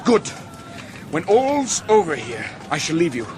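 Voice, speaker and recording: A bold young male adventurer in a medieval radio drama. The voice is high for a man and very animated in pitch, commanding and protective in manner. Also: unhurried, background noise. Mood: earnest, commanding. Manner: firm, instructing.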